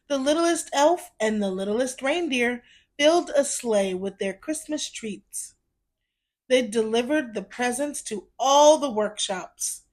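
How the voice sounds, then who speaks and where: A woman reads a children's story aloud, narrating expressively and deliberately for young listeners. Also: fairly high pitch.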